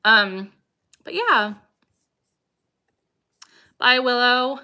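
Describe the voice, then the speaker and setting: A young woman in her twenties with a bright, clear voice, hosting a relaxed livestream Q&A. She chats with viewers and greets them one by one, breaking into laughter and sudden vocal tics along the way. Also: a little high, extremely slow. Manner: chatty, greets viewers by name. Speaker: livestream host. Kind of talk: casual livestream Q&A, reading chat aloud.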